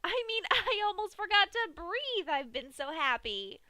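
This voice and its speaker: A woman's voice: extremely high pitch, big pitch swings, measured pace, bright, forceful tone, dry recording, studio quality, pitched above the performer's own voice, exaggerated voice. A woman voicing a hyper, giggly young female pink pony in a fan-fiction reading, with a squealing, bouncy, gleeful manner.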